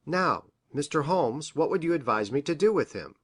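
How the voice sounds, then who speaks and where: A man playing an earnest, anxious country doctor in a Victorian detective story, speaking formally and deliberately. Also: a little high, expressive, slightly rough. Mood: earnest, worried. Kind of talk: dramatised reading of a Victorian detective novel.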